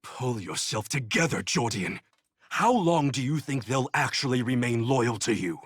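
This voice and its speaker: An actor playing a stern, indignant army captain in a fantasy video game. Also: male voice, mid-range voice, lively intonation, normal speed, slightly rough, no echo, clean studio sound. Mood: shocked, indignant. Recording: faint background noise.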